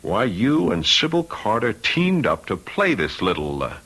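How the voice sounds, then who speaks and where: An actor playing a shrewd, dogged lawyer in an old-time radio mystery, blunt and coolly insistent. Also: male voice, fairly low pitch, huge pitch swings, a little slow, a bit bright, breathy edge, heavily acted, low-quality recording. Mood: cool, pressing. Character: shrewd, dogged male lawyer investigating a crime. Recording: radio drama recording.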